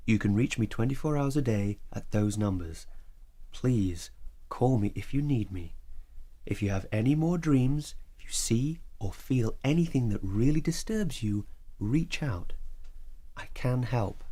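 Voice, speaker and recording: Psychiatrist for audio drama: calm, reassuring doctor, dark husky tone, measured and probing. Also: male voice, medium pitch.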